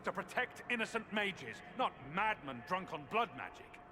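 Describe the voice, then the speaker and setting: A lively, sardonic man's voice, swinging up and down as he argues with bite. Steady ambient noise underneath. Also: high-pitched, normal speed. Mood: arguing. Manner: sharp, argumentative, sardonic. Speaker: mage companion. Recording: game audio with ambient noise.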